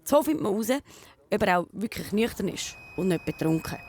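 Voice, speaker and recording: A woman's voice: mid-range voice, lively intonation, a little fast, darkish tone, a little hiss or hum. A woman with a Swiss German accent presenting a children's show, explaining and asking questions in a casual reporting style.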